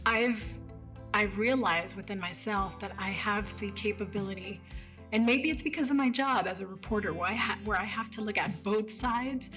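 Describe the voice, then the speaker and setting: A woman's voice: mid-range voice, normal speed, a bit bright. Woman, 30s, from Dallas, chatty and casual, faint music underneath. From Dallas, Texas. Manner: conversational, with fillers. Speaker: interviewee. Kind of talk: casual reflective interview.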